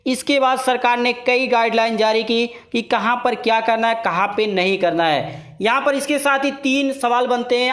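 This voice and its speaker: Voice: medium pitch, fairly bright tone. A presenter with an Indian accent delivers an explanatory current-affairs lesson, setting out points and terms step by step in a didactic way. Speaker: educational video presenter.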